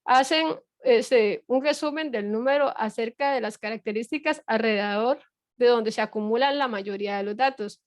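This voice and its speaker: A woman's voice: on the high side, moderate pace, no room sound, studio quality. A middle-aged woman lecturing a class online, explaining patiently with frequent fillers and rhetorical checks. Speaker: university lecturer.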